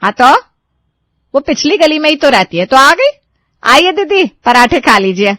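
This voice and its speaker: A woman's voice: sits high, sing-song, measured pace, a bit bright, no room sound, full character voice. A woman voicing a nagging, sarcastic middle-aged mother-in-law in a cartoon story, chiding with rhetorical questions. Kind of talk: Hindi cartoon moral story voice acting.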